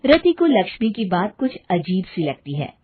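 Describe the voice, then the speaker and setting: A woman's voice: mid-range voice, sing-song, moderate pace, phone-quality sound, faint music behind. A woman reading scripted storytelling narration for a cartoon.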